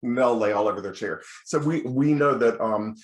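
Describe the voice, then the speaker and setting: American man lecturing in an echoey room. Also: moderate pace. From United States. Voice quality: bass singing voice. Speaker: children's choir director giving a lecture. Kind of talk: instructional lecture with demonstrations. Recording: roomy, echoing sound.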